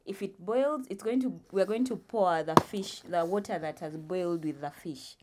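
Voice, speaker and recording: A young woman casually explaining cooking for a vlog, deliberate and often repeating her phrases. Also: fairly low pitch, heavy background noise. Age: young adult. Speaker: guest vlog presenter.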